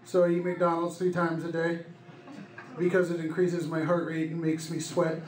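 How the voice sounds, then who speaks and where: A young man doing deadpan stand-up comedy in short one-liners into a handheld microphone on a club stage. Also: on the high side, moderate pace. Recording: echoey club room with loud noise. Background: loud noise, audience laughter.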